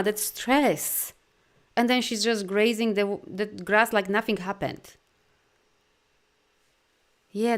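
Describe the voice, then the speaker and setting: A woman with a Polish accent, a native Polish speaker, leading a live video talk as a life coach. She speaks in an animated, encouraging way with loose grammar and many "you know"s. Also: medium pitch. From Poland. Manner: soft, slow guidance during breathing. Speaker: life coach and energy healer.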